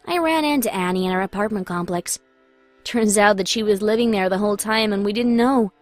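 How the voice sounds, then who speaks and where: A young woman one would hear telling a confessional, dramatic story in an animated short, medium-pitched, with faint music underneath. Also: brisk, no room sound. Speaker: first-person story narrator. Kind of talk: dramatic scripted narration.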